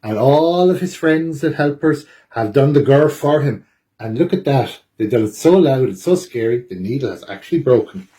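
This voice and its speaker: A man reads a rhyming picture book for children as a storytime reader. His voice sits at an average pitch and he delivers each line with expressive drama. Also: normal speed.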